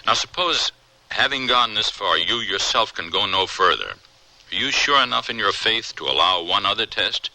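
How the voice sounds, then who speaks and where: An actor playing an earnest, persuasive colleague in a radio drama, urgent and theatrical. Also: male voice, fairly low pitch, expressive, normal speed, sharp tone, dry recording, exaggerated voice, low-quality recording. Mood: urgent pleading. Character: earnest, persuasive male colleague of a troubled scientist. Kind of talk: American radio drama acting.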